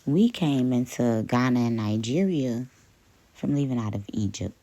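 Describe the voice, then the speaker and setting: A woman's voice: rumbling low, animated, normal speed, dark tone, voice pushed up, heavily acted, poor audio. A woman voicing a cheerful older man in his sixties while she retells a conversation, speaking eagerly and agreeing with her.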